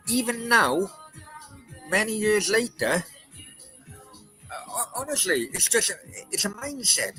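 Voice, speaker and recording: A man's voice: high-pitched, pushed and bright, poor audio. A man in his forties hosting a late-night chat, ranting bluntly and with lots of swearing. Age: 40s. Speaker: podcast host.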